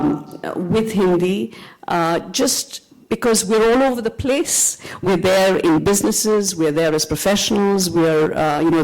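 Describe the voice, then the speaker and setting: Indian woman with a gravelly voice. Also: fairly low pitch, a little mellow, poor audio. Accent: North Indian. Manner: measured, hesitant with many fillers. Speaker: panelist, attorney. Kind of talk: panel discussion remarks.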